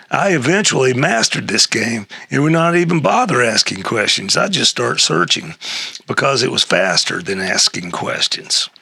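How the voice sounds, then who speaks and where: An older man reading a humorous memoir essay aloud in a halting way, with a gravelly, husky voice. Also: medium pitch, bright, forceful tone, dry recording, studio quality. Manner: halting read-aloud with stumbles and chuckles. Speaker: reader of an essay excerpt.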